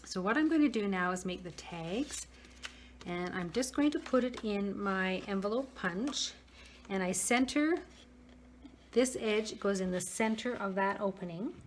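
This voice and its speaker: A slightly hoarse woman casually demonstrating a paper-craft tool while she works at her table. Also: mid-range voice, expressive, unhurried, a little hiss or hum. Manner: chatty, talks while working, frequent restarts. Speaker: craft tutorial host. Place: craft room at a work table.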